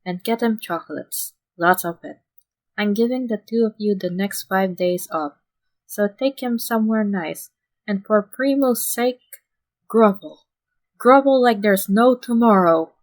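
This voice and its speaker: A woman's voice: medium pitch, unhurried, close to an everyday voice, rough recording. A woman plays a young man in charge, worn out and exasperated. He speaks with long-suffering patience as he gives advice in an audio story. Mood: dry exasperation. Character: weary, exasperated young male mafia boss giving advice.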